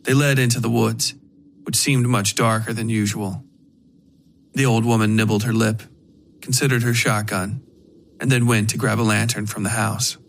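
Creaky-voiced male horror story narrator. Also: on the low side, steady tone, moderate pace, a little hiss or hum. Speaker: narrator of a horror fiction podcast.

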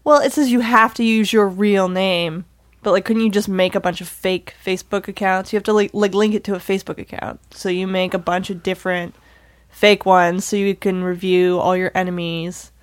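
Casting: mid-pitched female co-host, dry close mic, wry asides. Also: little pitch movement, moderate pace, no echo. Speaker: podcast co-host. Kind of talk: casual chat.

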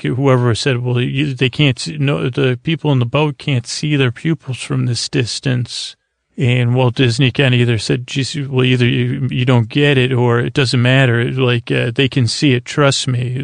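A male podcast host speaks in a creaky, gravel-edged voice at a middling pitch. He rambles soothingly, as if easing listeners toward sleep, circling back and leaving thoughts half finished. The sound is very dry, with no room around it. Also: no echo. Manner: meandering, halting, repeats and trails off mid-thought.

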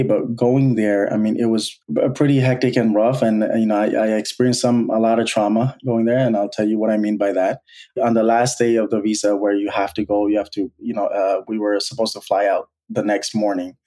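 A man in his thirties from Bangladesh, a guest telling his life story in an earnest, halting way with many restarts. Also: on the low side, little pitch movement, mellow. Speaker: guest, college alumnus. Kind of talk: personal storytelling interview.

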